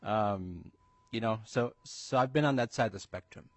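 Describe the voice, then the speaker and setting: A man's voice: on the low side, moderate pace, no room sound. A man from Minnesota giving an upbeat, personal introduction at a committee hearing. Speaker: state representative.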